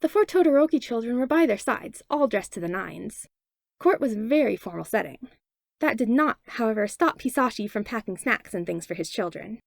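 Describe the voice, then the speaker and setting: A woman narrating a fanfiction audiobook in an expressive read-aloud manner on a close mic. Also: fairly high pitch, fairly quick, creaky, dry recording. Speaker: fanfiction podfic reader. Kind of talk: storybook narration.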